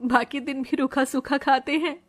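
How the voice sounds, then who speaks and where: A woman's voice: high-pitched, steady tone, moderate pace, natural voice. A woman voicing an earnest, plaintive woman of a poor family in a melodramatic cartoon story. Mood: tearful, plaintive. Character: women of a poor family drama: tearful young niece, careworn aunt. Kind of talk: Hindi cartoon story voice acting.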